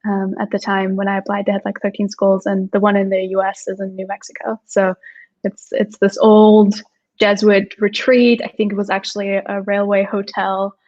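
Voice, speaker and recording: A young woman from Austria speaks as a guest on a video call, chatting casually with plenty of "like" and little laughs. Her pitch stays fairly flat and even, and her sound comes through dull and lo-fi. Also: moderate pace. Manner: chatty, many "like" fillers, laughs often. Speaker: startup co-founder, guest. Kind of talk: casual interview chat.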